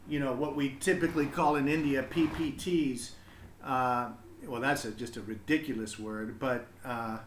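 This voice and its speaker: Male streamer, slightly high voice, casual explainer who thinks aloud, roomy echoing sound. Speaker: live streamer reading to children. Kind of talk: casual explanatory livestream talk.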